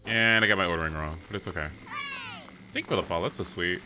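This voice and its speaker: A man giving casual, excitable gaming livestream commentary, exclaiming and swearing freely as he plays. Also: sits low, quick pace, noisy background. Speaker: live streamer playing a video game.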